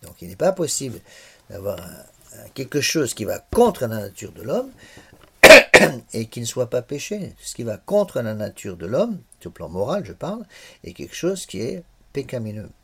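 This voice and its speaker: An older man in his sixties lectures a class on religious morals. His husky voice swings widely in pitch. He often stalls and repeats small words several times before pressing on. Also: very animated, normal speed, sharp tone. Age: 60s.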